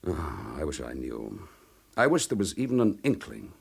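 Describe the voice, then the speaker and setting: Deep-voiced, determined police inspector. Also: male voice, huge pitch swings, normal speed, gravelly, husky, heavily acted. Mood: grim, intense. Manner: forceful, clipped, impatient. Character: determined police chief inspector, gruff and intense. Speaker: actor in radio drama. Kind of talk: dramatic radio crime drama acting.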